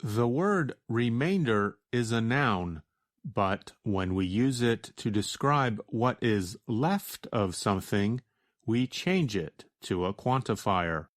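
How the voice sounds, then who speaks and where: An American man with a fairly low voice teaches from a script. He speaks very slowly and deliberately, explaining each point in a measured way. The sound is dry, with hardly any room. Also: expressive, no room sound. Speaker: teacher answering a listener's question. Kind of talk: slow scripted educational narration.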